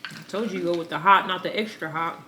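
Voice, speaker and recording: A woman's voice: lowish voice, quick pace, bright, forceful tone, slight background noise. A young woman vlogger chatting casually and teasing over a seafood meal, with a playful drawl. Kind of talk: casual mukbang chat.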